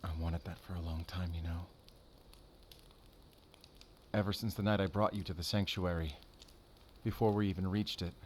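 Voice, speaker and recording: A man playing a brooding, tender but guarded werewolf hunter in an intimate audio roleplay, speaking softly and haltingly. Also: low-pitched, fairly even intonation, slight background noise, natural voice. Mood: confessional, yearning. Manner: soft, halting, intimate, earnest delivery.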